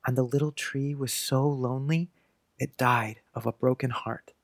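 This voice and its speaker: Imaginative young boy, acted by a man, grave and precise, full of quiet wonder. Storybook drama, close dry recording. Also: medium pitch, fairly even intonation, moderate pace, no echo, close to an everyday voice. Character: dreamy, solemn, imaginative little boy. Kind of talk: audiobook drama acting.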